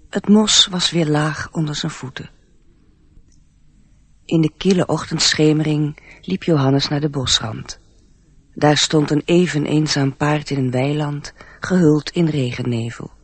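A man narrating a radio play as a storyteller, in a measured, literary manner. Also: a little high, breathy edge, no echo.

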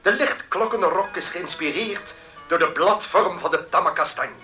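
Pompous high-voiced male fashion designer. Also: measured pace, sharp tone. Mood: grandly presenting. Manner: pompous, indignant. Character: vain, bellowing, self-important male fashion designer. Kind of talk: 1970s Dutch radio play acting. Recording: 1970s radio play, music behind.

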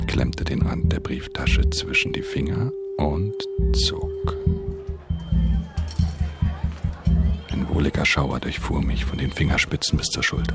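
Very deep, gravelly brooding pickpocket. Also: male voice. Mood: hushed tension. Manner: measured, introspective, hushed. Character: brooding male pickpocket narrating his criminal life in Tokyo. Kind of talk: radio drama first-person narration.

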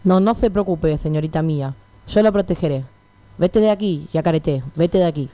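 A gallant masked hero played by a woman with a lowish voice. He declaims pompously and boastfully, in radio comedy style. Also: normal speed, dark tone, no room sound, natural voice. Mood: protective. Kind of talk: comic radio melodrama.

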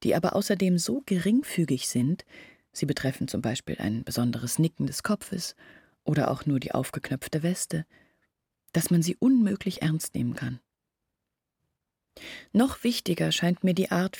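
An actress playing an earnest village woman in a radio play, explaining things in a measured, husky voice. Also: on the low side, animated, darkish tone, no room sound, clean studio sound, exaggerated voice. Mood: troubled, insistent. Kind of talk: literary radio play monologue.

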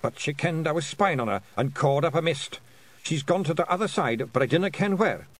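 Tiny storybook pictsy flier as a man acts him: high-pitched, excitable, Scottish, sheepish and eager to defer, swooping delivery. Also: fairly quick, no room sound. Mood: excited reporting. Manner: excitable, deferential dialect speech. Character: very small male pictsy pilot, jumpy, sheepish.

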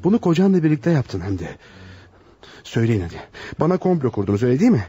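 Indignant panicked psychologist, radio drama, quick delivery, dry close sound, stammering protests. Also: male voice, medium pitch, very animated, mellow, breathy edge, no echo, lo-fi sound. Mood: anguished outrage. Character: panicked, outraged male psychologist caught in blackmail trap. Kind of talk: Turkish radio theater acting.

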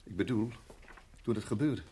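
Middle-aged father, radio drama, low register, husky, shaken, halting delivery. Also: dark tone. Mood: haunted, brooding. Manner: halting, repeats words, trailing off. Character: shaken father, a former Rhodesian farmer, hesitant and troubled.

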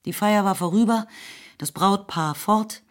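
A woman narrator reading literary prose for a radio play in a measured, expressive storytelling manner. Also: medium pitch. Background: faint music.

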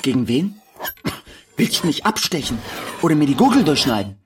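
A man's voice: fairly high pitch, very animated, moderate pace, slight background noise. An actor playing a worried, earnest male writer in a German radio play, with a hesitant, searching and pleading delivery. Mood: alarmed.

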